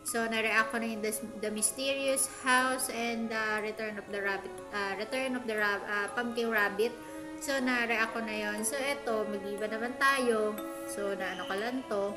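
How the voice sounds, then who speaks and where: A woman's voice: on the high side, fairly even intonation, normal speed. A woman with a Filipino accent hosting a reaction video in casual, chatty vlog style. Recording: echoey room, music behind.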